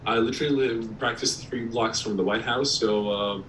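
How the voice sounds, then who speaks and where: A man from Iraq, a periodontist giving a casual presentation over a video call, slightly hoarse and talking with many ums and restarts. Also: medium pitch, fairly even intonation, normal speed, fairly bright tone. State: slightly hoarse, clearing throat. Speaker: periodontist guest speaker. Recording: distant mic with faint background noise.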